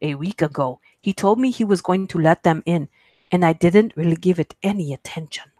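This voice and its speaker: A woman's voice: on the low side, fairly quick, warm tone, no echo, natural voice. A woman voicing a worried friend who tells an internet horror story in an attempted Russian accent. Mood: anxious. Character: scared friend narrating in a put-on Russian accent.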